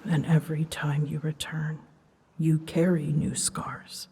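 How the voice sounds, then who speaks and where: A woman playing an ancient female dragon in a fantasy ASMR drama, solemn and tender, speaking softly in measured, formal phrases and often near a whisper. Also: deep voice, level tone, exaggerated voice. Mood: solemn, quiet. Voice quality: soft, breathy, husky, often dropping into a whisper. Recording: long cavernous reverb.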